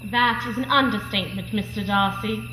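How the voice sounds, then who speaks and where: A woman playing a spirited, proud young gentlewoman, formal and cutting, in a staged radio drama. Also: medium pitch, a little fast, close to an everyday voice. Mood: scornful. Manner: haughty, formal. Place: on stage at a vintage-style microphone. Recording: roomy stage microphone, some noise.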